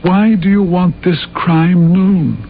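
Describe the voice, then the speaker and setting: Skeptical investigator, higher-pitched male voice. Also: fairly even intonation, takes it slowly. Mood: skeptical, probing. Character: skeptical, probing investigator questioning a confessed suspect. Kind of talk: radio drama acting. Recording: phone-like vintage radio, faint noise.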